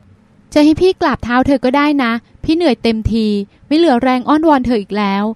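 A woman's voice: high voice, expressive, fairly quick, darkish tone, dry recording. A woman reading the part of a caring but worn-out older sister, earnest and reproachful, in an audiobook. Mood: weary pleading.

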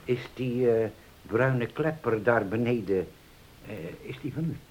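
Man playing a slow, mellow, jovial older gentleman. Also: mid-range voice, animated, close to an everyday voice. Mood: amused, kindly. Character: jovial, gallant older gentleman, father figure. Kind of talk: Dutch radio play acting. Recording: muffled old radio play, faint noise.

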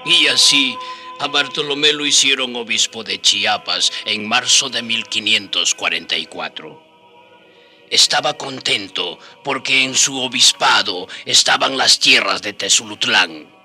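A man's voice: medium pitch, measured pace, bright, forceful tone, faint music behind. A man playing a companion friar who narrates a radio drama as scripted storytelling. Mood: solemn storytelling. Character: companion friar recounting the old bishop's life.

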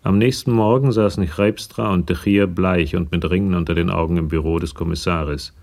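A man narrating a German radio crime drama in a measured, factual storytelling manner. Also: deep voice, steady tone, gravelly, a little mellow.

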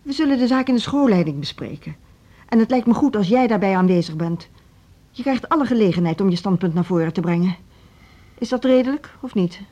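An actress playing a measured, firm school director in her fifties in a radio drama, deliberate and diplomatic. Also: medium pitch, expressive, mellow, natural voice. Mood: calm, firm. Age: 50s. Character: measured, firm middle-aged female music school director. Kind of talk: Dutch radio drama acting.

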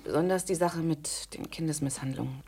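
A woman's voice: low-pitched, brisk, dark tone, slightly rough. An actress playing a resigned, conciliatory woman in a radio drama, speaking in a subdued way. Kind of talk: German radio drama acting.

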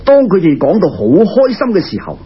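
A man's voice: high-pitched, huge pitch swings, normal speed, slightly rough. A man with a Cantonese accent telling a serial tale in traditional dramatic storytelling style. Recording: muffled lo-fi radio recording.

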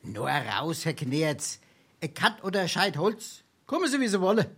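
A man's voice: on the high side, very animated, normal speed, a bit bright, slightly rough, exaggerated voice. A man playing a cheeky, penniless card-playing freeloader in a Darmstadt Hessian dialect stage comedy, theatrical, wily and grandiloquent. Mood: sly, assured.

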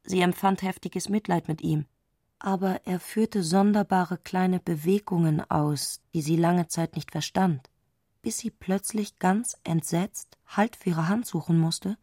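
A woman reading literary narration for a radio play in a scripted, measured storytelling style. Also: lowish voice, mellow, breathy edge, no echo, clean studio sound.

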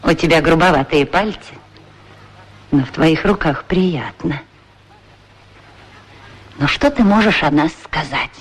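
An actor playing a pair of talking shoes in a radio play, frank, familiar and full of questions. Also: male voice, on the high side, measured pace, pauses often, a little hiss or hum, close to an everyday voice. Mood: friendly, teasing. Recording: 1960s radio drama recording.

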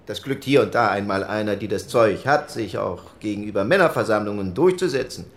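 A man's voice: on the low side, expressive, fairly quick. An actor playing an argumentative male delegate in a 1940s parliamentary debate, speaking emphatically. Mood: insistent. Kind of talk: acted debate from historical minutes.

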